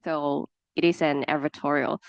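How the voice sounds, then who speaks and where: A woman's voice: low voice, fairly even intonation, moderate pace, creaky, highs cut off. A woman with a Hong Kong accent, a native Cantonese speaker, giving a classroom presentation and explaining with examples, with many fillers and restarts. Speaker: lecturer.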